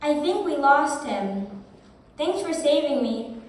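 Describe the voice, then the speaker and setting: A woman's voice: high voice, very animated, takes it slowly, darkish tone. A woman performing dialogue in a live puppet show. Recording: echoey hall, distant mic, noticeable noise.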